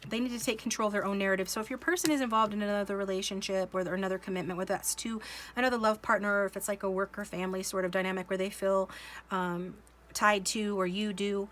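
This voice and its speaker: Slow, musing woman reading tarot. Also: mid-range voice, fairly bright tone, a little hiss or hum. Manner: slow, musing, many fillers and restarts. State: coming down with a cold, sniffling. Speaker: tarot reader. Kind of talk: casual tarot reading to camera.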